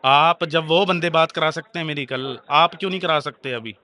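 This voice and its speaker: A man's voice: on the high side, moderate pace, pushed and bright. A man making a heated phone complaint, arguing insistently and repeating his demands.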